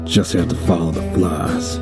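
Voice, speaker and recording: Male actor as grizzled cowboy bounty hunter, low voice, laconic, wry, audio drama narration, music underneath. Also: fairly even intonation, a little slow. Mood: grim, tense. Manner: laconic, wry, tough-talking.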